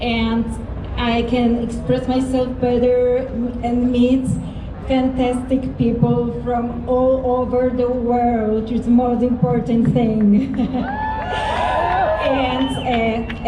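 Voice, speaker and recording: Woman, Polish accent, slow, hesitant, echoey stage sound, crowd. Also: a little high, level tone, noisy background. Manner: hesitant, with many fillers. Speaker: contestant.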